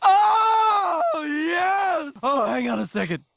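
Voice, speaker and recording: A man giving casual reaction commentary with exaggerated, drawn-out delivery. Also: very high voice, sing-song, creaky, shouting, heavy background noise.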